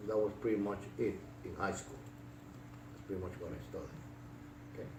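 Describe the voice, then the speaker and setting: A man in his sixties with a Puerto Rican accent tells his life story in an interview, halting, with many fillers and restarts. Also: low voice. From Puerto Rico. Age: 60s. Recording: echoey room, distant mic, noisy.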